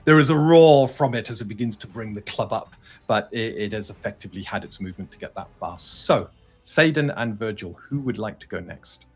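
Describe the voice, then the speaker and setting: A man's voice: lowish voice, expressive, brisk, darkish tone, husky. A man acting as game master, improvising narration and explaining rules in a live tabletop game.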